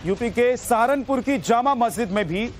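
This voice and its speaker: A man's voice: very high voice, animated, moderate pace. A man narrating news reports as an anchor in a dramatic, emphatic voice-over style. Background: music bed.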